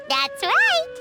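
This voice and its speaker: A tiny, excitable fairy sidekick from a video game, squeaky and babyish. The pitch is extremely high and bounces wildly, at a measured pace. The delivery is cute and exclamatory. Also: female voice, full character voice. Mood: cheerful. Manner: cutesy, exclamatory. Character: small childlike flying fairy companion, excitable, curious, speaks in third person. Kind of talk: video game character acting.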